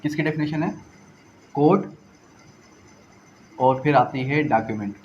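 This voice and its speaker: A Pakistani man teaches law in a slightly high voice. He explains point by point, often repeating a phrase and posing a question before answering it. English legal terms are mixed into his speech, and faint noise runs through the recording. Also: a little slow, a little mellow, lo-fi sound. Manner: didactic, repeats phrases and asks rhetorical questions. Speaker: law lecturer. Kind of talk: exam-prep lecture, explaining point by point.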